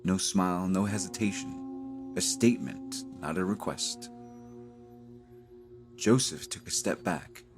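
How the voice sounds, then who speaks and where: A man hosting and narrating a cinematic audio drama in dramatic, measured short phrases with weighted pauses. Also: low-pitched, expressive, creaky. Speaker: host and narrator. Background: music.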